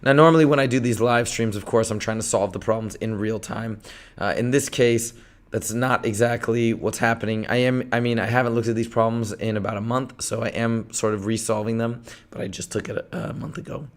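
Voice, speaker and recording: Hoarse man tutoring math live. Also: medium pitch, fairly quick. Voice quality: gravelly, strained. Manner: energetic, talks through problems step by step. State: hoarse, losing his voice.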